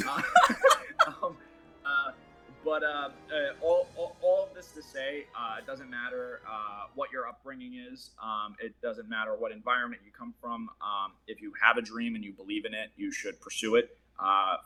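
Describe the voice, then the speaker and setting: Casting: man, native Portuguese speaker, bright and forward tone, loose rambling delivery with fillers. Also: mid-range voice, low-quality recording. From Boston area, USA. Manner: rambling, filler-heavy, laughs often. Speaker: actor, interview guest. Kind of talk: casual interview chat.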